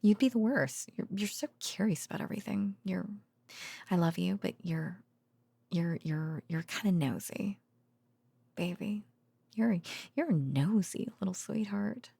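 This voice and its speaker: A woman voicing a tender, weary researcher girlfriend in an intimate ASMR audio roleplay, speaking softly and hesitantly, partly in whispers, close to the microphone. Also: medium pitch, unhurried. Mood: teasing, affectionate. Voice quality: soft, breathy, partly whispered. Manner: hesitant, drowsy, musing, with sighs and soft chuckles.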